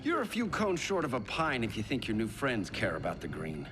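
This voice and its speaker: A dry, joking video game hero. His pitch is average but leaps about with sardonic emphasis. Loud music plays behind him. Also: huge pitch swings, fairly quick. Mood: wry. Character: dry, wisecracking male hero, the team's newcomer. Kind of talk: video game cutscene acting.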